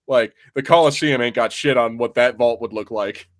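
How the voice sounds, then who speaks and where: A man in an easygoing podcast chat who trails off, restarts and laughs between his thoughts. His voice sits at an ordinary middle pitch. He sounds unhurried and loose. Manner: rambling, many restarts and fillers, laughs often. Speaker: podcast co-host. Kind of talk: casual gaming banter.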